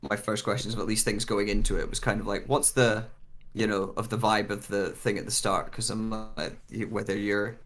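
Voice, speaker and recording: A man's voice: fairly low pitch, level tone, moderate pace, a bit bright, a little hiss or hum. A man speaking casually as a guest actor discussing a role, rambling and often restarting his sentences. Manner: rambling, restarts sentences, frequent "like" and "you know".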